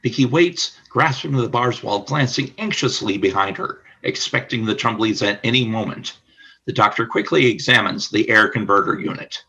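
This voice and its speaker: A man narrating a scripted radio play as announcer, in a formal, deliberate storytelling manner. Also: lowish voice, bright, forceful tone, slightly rough, poor audio.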